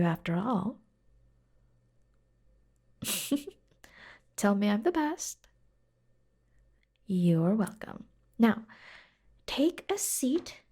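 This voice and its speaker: Soft, breathy, slow-talking secretary played by a woman. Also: on the high side, exaggerated voice. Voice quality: soft, breathy, often dropping to a whisper. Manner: slow, gentle, playful, intimate. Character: caring, teasing, devoted young female secretary fussing over her boss. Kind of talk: soft-spoken ASMR roleplay.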